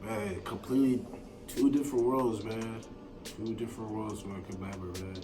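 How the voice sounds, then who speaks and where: A man's voice: fairly low pitch, fairly even intonation, moderate pace. A man, chatting casually as a music producer in an interview, rambling in a laid-back way with lots of slang and swearing. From born in Kenya to Somali parents, moved to America at eleven. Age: 20s. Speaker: beat producer being interviewed. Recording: distant mic with music behind.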